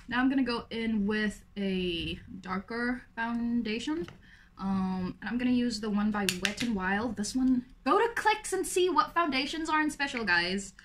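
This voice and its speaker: A woman's voice: fairly high pitch, lively intonation, measured pace, fairly bright tone. A woman in her twenties, chatting casually while doing her makeup in a small room. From South Africa. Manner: chatty, upbeat, talks while applying makeup. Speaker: beauty vlogger. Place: small indoor room with pink wall.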